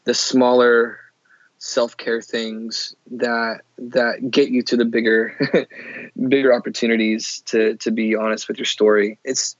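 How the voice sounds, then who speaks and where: A man's voice: mid-range voice, level tone, slow, no echo, lo-fi sound. A man from Oklahoma, a guest talking earnestly and thoughtfully in an interview, often restarting his sentences. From Oklahoma, USA. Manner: earnest, thoughtful, with frequent restarts and "you know". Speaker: podcast guest. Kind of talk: candid interview conversation.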